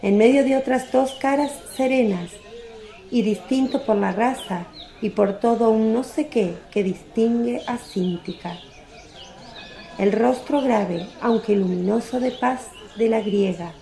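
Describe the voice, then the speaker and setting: A woman narrating a religious radio drama from a script, with a solemn, deliberate literary delivery. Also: a little high, gravelly, dark tone, echoey room.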